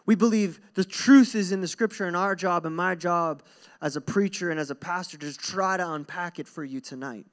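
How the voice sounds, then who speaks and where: High-voiced young male pastor preaching. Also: moderate pace. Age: young adult. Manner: earnest, informal, repeats phrases for emphasis. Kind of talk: conversational sermon to a congregation. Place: stage in a church hall. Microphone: handheld microphone.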